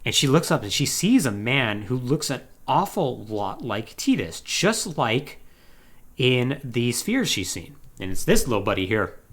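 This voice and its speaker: A man hosting a podcast, casually retelling a video game plot in a slangy, animated, joking way. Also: mid-range voice, a little slow, breathy edge. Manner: animated, slangy storytelling with mocking acted-out quotes. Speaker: podcast host.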